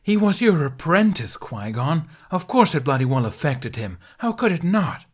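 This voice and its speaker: A man's voice: on the high side, big pitch swings, normal speed, breathy edge, voice pushed up. A man voicing a furious, grieving middle-aged knight who speaks in a heated, accusing, raised voice in an audiobook reading.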